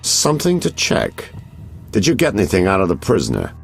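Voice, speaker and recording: A man playing a shrewd, patient investigator in a video game, gravelly and given to giving orders. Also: low-pitched, wildly swooping pitch, fairly quick, exaggerated voice. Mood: probing. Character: shrewd, patient male investigator, confiding and directive. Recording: music behind.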